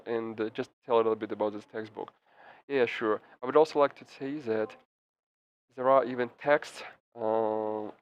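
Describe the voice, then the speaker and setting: Male interpreter, halting restarts. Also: mid-range voice. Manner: halting, frequent restarts and fillers. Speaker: simultaneous interpreter. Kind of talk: live interpretation of a press briefing. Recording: slightly muffled with faint background noise.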